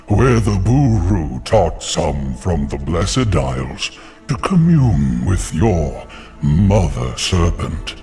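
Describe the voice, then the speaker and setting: An ancient tree spirit from a fantasy video game, weary yet benevolent. The voice is low and crackles like old wood, moving extremely slowly and solemnly, with light music underneath. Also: male voice, a little mellow, heavily acted. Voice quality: deep, creaky. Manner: slow, grave, deliberate. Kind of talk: video game character acting.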